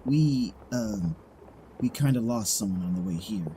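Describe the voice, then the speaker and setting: A man's voice: lowish voice, lively intonation, a little slow, warm tone, slight background noise. A man acting an easygoing, caring young survivor in an apocalypse audio drama, casual and sincere. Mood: worried, sincere. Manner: casual, laid-back, sincere.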